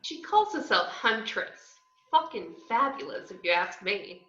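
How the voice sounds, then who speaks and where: A woman's voice: medium pitch, big pitch swings, measured pace, bright, forceful tone. A woman in a table read, playing a brash, cheeky young narrator with a sardonic, slangy way of telling a crime story. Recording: roomy, dull video-call audio.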